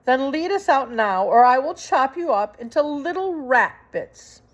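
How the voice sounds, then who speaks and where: A woman's voice: high voice, animated, unhurried, voice pushed up. A woman voicing a simple, stubborn young serving girl from a children's storybook, with rustic, ungrammatical, plaintive speech. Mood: defiant. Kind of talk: children's storybook read aloud.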